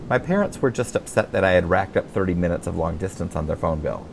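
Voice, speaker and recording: A man reading his own memoir as a scripted audiobook, telling it in a wry, expressive storyteller's manner. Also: low-pitched, quick pace, creaky, slight background noise.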